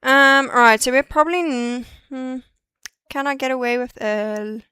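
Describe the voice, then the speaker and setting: A woman's voice: high-pitched, measured pace, sharp tone, clear, resonant tone, no echo, studio quality. A woman streaming a 3D modeling session, chatting and muttering to herself as she works through each step. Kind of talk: live-stream tutorial, thinking aloud.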